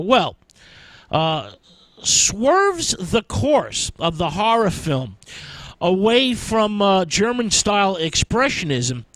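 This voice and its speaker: Male radio host for film history commentary: measured, halting phrases, high pitch, dry close sound. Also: fairly bright tone, no room sound, poor audio.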